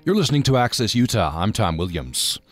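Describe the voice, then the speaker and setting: A man hosting a radio interview, speaking thoughtfully with frequent pauses. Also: fairly low pitch, very animated, faint music behind. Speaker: radio show host.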